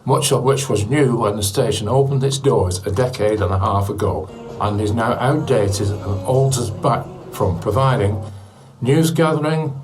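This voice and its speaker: A man's voice: mid-range voice, normal speed, soft background music. A man reading scripted promotional narration.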